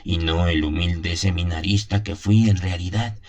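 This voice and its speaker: A man acts out an elderly country priest in a radio drama, his voice very low. He is haunted and wistful, confiding his story deliberately, with weighty pauses. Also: steady tone, a little mellow, natural voice. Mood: confessional, grave. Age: 70s as played. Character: seventy-year-old country priest, haunted, confessional, wistful. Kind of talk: dramatised first-person radio drama monologue.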